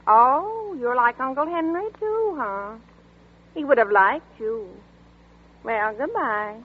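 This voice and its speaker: A woman's voice: sits high, lively intonation, takes it slowly, slight background noise. A woman voicing a simple, chatty backwoods boy who speaks in a folksy, rustic way, in 1940s radio acting. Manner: folksy, rustic, plain-spoken. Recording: tinny old radio broadcast.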